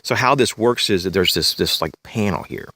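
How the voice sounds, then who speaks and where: A man with a slightly raspy, congested voice, casually reviewing his backpack outdoors, rambling with many fillers and clearing his throat. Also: on the low side, moderate pace, sharp tone, no room sound. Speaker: gear reviewer, channel host. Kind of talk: casual show-and-tell gear review. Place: outdoors in leafy woods, bag on a chair.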